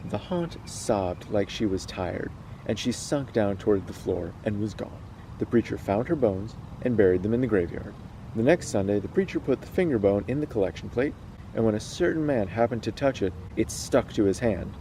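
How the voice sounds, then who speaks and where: A man's voice: fairly low pitch, lively intonation, moderate pace, dark tone, a little hiss or hum, rough recording. A man hosting a casual read-aloud, moving between storytelling and chat. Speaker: host reading stories aloud.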